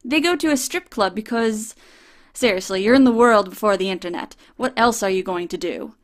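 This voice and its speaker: Female reviewer for a comedic review show; sardonic and profane, mid-pitched voice. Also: a little fast. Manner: sardonic, swears casually. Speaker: film reviewer.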